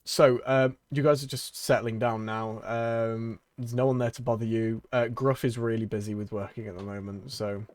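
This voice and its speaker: A man's voice: mid-range voice, steady tone, moderate pace, hardly any pauses, darkish tone, no echo. A man running a tabletop game as game master, laughing often, with many ums and restarts.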